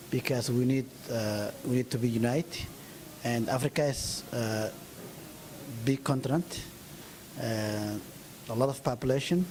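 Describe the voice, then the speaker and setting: A man from Ethiopia, a community leader answering interview questions earnestly with frequent pauses. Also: medium pitch, darkish tone, slight background noise, low-quality recording.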